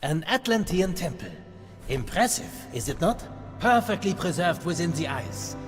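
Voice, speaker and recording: An actor playing a proud German scientist in a video game, speaking formally and precisely with a German accent. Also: male voice, high voice, big pitch swings, moderate pace. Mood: lofty, lecturing. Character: learned, proud German scientist, expedition leader. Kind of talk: video game cutscene acting. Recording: loud music behind the voice.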